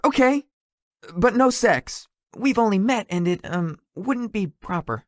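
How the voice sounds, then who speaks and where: Shy young man, acted by a woman, animated pitch. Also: a little slow, dry recording, clean studio sound, lightly acted. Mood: flustered, embarrassed. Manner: hesitant, stammering when embarrassed. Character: shy, flustered, sweet and sleepy young man. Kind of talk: visual novel character acting.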